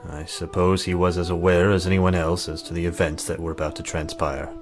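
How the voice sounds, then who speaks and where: A man's voice: low voice, flat delivery, a little fast, lightly acted. A man voicing a terse, stoic, cautious warrior in a visual novel, formal and stern in his way of talking. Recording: loud music and rain behind. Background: game music and rainfall.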